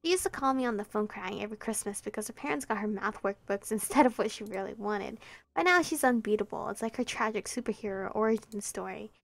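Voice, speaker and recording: A woman's voice: mid-range voice, fast talker, creaky. A young woman streamer reading a visual novel aloud in a light, chatty way into a close mic. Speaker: let's play streamer.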